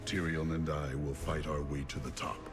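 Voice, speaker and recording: Stern fantasy game warrior, extremely low voice, solemn, resolute, loud music behind. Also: male voice, little pitch movement, moderate pace. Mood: grave determination. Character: grave, resolute male demon-slaying hero. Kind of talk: dramatic fantasy game narration and dialogue.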